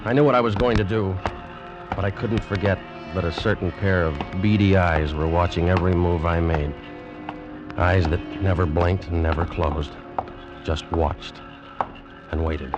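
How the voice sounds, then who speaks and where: A man's voice: low-pitched, fairly even intonation, highs cut off, close to an everyday voice. An actor playing a determined young male traveler in a dramatic 1940s radio style, on an old recording. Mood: tense dread. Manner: intense, deliberate, dramatic. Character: determined, uneasy young male traveler telling his grim tale. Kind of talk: 1940s radio acting, dramatic first-person narration. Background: music.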